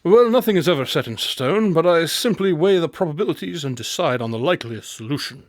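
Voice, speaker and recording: A calm, shrewd detective, acted by a man for an audio drama. His voice sits a little high for a male and is recorded close and dry. He reasons aloud in measured, assured phrases. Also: no echo. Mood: analytical, assured.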